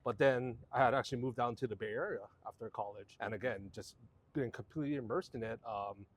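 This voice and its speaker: A man. He tells his story in a relaxed, meandering way, full of "um"s and restarts. His voice sits at an ordinary middle pitch. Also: brisk. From Hawaii. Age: 40s. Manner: relaxed, rambling storytelling with many fillers and restarts. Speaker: guest. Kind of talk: casual conversational interview.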